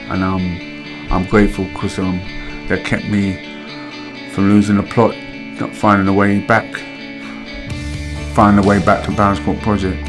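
A middle-aged man, talking candidly and casually in a documentary interview. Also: lowish voice. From London. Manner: candid, street-casual talk. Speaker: project member, musician. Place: indoor art room. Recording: music bed underneath.

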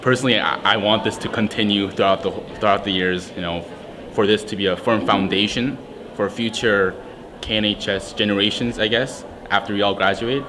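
A teenage student organizer in a casual interview, talking haltingly with frequent ums and restarts. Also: male voice, fairly low pitch, fairly even intonation. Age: teens. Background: music.

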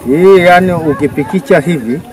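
A Tanzanian man from a village explaining a traditional method slowly and carefully, recorded outdoors. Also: on the high side, recorded from a distance, rough recording. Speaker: Maasai villager demonstrating fire-making. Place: outdoors in open grassland.

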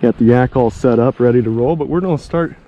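A man's voice: mid-range voice, quick pace, mellow, muffled recording, slight background noise. A middle-aged man, narrating a casual fishing vlog outdoors into an action camera, talking informally to viewers and himself. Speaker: fishing vlogger. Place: outdoors by and on a pond. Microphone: action camera, handheld or worn.

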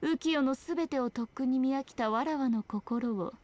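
A woman's voice: high-pitched, moderate pace, darkish tone, close to an everyday voice. A woman playing a sly, teasing, ancient fox-spirit shrine maiden in a video game, speaking in an archaic, haughty manner with playful airs. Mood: aloof. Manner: archaic haughty Japanese speech, playful mock sympathy. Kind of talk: scripted video game dialogue.

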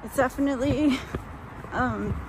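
High-pitched, halting woman vlogging outdoors in noise. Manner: halting, unhurried, trailing off. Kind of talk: casual walking-tour chat. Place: outdoors walking along roadside and hilly park. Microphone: handheld phone at arm's length. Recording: loud outdoor street and wind noise.

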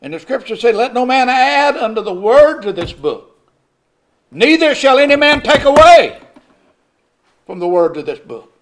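A man's voice: very high-pitched, sing-song, normal speed, long pauses. An older man, preaching a sermon from a church pulpit with rising emphasis and occasional chuckles. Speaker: pastor. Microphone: pulpit microphone.

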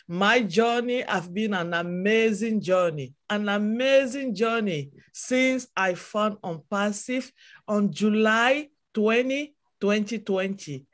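Slow, passionate Cameroonian woman on a call. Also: mid-range voice. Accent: Cameroonian French. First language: French. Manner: passionate, repetitive preaching cadence. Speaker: webinar speaker. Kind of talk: motivational testimony. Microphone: video call.

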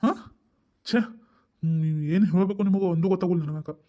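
A blunt, exasperated father, played by a man speaks in a high, quick voice with a North Karnataka Kannada accent. He fires rhetorical questions and scolds his wife without letting up in a family drama skit. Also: highs cut off, close to an everyday voice. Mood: berating.

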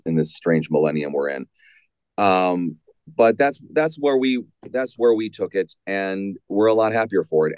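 Male author guest, somewhat deep, deliberate and emphatic in conversation, dry room. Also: a little mellow, no room sound. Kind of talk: conversational interview answers.

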